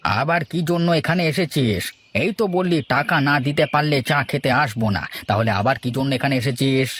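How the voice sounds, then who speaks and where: A man's voice: mid-range voice, a little fast, fairly bright tone, a little hiss or hum, natural voice. A man voicing a stern, grumbling tea-stall keeper in a cartoon, scolding and threatening. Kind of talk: cartoon dialogue acting.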